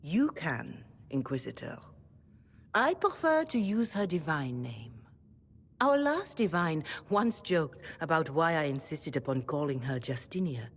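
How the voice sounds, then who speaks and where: A gracious older female cleric from a fantasy game, with a low voice that moves in wide, expressive swings. She is devout and courteous, formal in her phrasing and gently frank, with a husky edge. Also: a little slow, heavily acted. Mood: courteous, measured. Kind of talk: video game voice acting.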